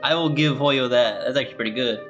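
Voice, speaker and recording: A man's voice: mid-range voice, fast talker. A man giving casual, off-the-cuff commentary as he plays a video game. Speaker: gaming streamer. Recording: game music clearly behind.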